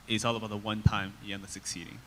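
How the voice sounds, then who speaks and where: A man's voice: fairly low pitch, little pitch movement, very fast, fairly bright tone, slight background noise. Casual man in a reverberant hall. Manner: casual, with many fillers. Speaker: conference presenter. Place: conference hall.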